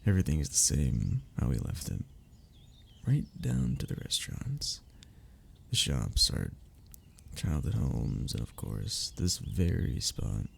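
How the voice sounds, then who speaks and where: A man's voice: extremely low pitch, sing-song, a little slow, creaky, husky, heavily acted. A man playing a sentimental, teasing young man in an ASMR roleplay, talking intimately and conversationally to a childhood best friend. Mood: wistful, nostalgic. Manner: intimate, conversational, with sighs and chuckles. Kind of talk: ASMR roleplay audio drama.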